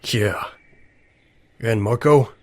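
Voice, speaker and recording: A man playing a grieving, reluctant young survivor in a zombie apocalypse audio drama, terse and halting. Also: mid-range voice, no room sound. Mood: subdued. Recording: clearly noisy amateur recording with ambience.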